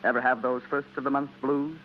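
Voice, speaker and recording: A man's voice: medium pitch, little pitch movement, fairly quick, over loud music. An American man announcing on 1940s radio, reading scripted sponsor talk in a conversational, persuasive way. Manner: conversational, rhetorical questions to listeners. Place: radio broadcast studio. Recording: muffled, noisy 1940s radio recording.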